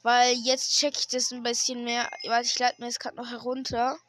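A hoarse woman hosting a gaming channel, chatting casually and hesitantly while she plays, with lots of fillers and restarts. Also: fairly high pitch, monotone, moderate pace, a bit bright. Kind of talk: casual gameplay commentary. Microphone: desk microphone.

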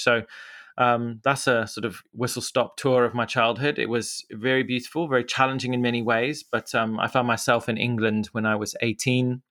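A man's voice: fairly low pitch, fairly bright tone, dry recording, clean studio sound. A man in his forties from Zimbabwe with a Zimbabwean accent, a podcast guest talking in a casual, rambling way with many fillers. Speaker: podcast guest, media co-founder. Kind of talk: casual conversational interview.

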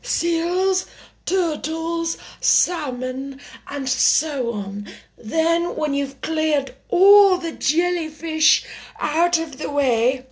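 A woman's voice: high voice, pitched above the performer's own voice. A woman voicing a melancholy male turtle creature in a children's storybook, drawing out the words with wistful emotion. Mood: excited, wistful. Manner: slow, drawn-out, emotional delivery. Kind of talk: children's storybook character reading.

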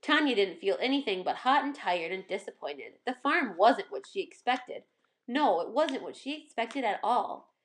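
A woman reading a children's story aloud in expressive scripted narration. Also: fairly high pitch, moderate pace.

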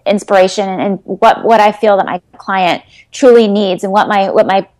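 Woman guest, mid-pitched, earnest and hesitant, coaching talk. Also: steady tone, normal speed, a little mellow. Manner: earnest, rambling, many ums and restarts. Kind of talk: casual interview answers.

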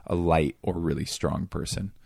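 A man from Seattle, a comedian guest in casual interview chat, talking in a rambling, self-deprecating way with a voice that is getting raspy. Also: rumbling low, fairly even intonation, moderate pace, darkish tone, no echo, studio quality. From Seattle area, USA.

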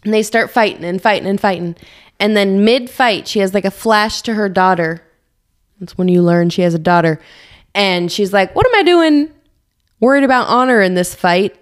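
A woman's voice: medium pitch, moderate pace. A young woman co-hosting a casual podcast, playfully recapping a movie plot. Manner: playful storyteller, recaps plots. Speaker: podcast co-host. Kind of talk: casual chat.

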